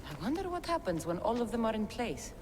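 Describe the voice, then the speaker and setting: A woman's voice: fairly high pitch, sing-song, fast talker, darkish tone, in a large hall. An actress playing a bold, determined female adventurer who asks direct questions. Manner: direct and inquisitive. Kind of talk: game cutscene acting.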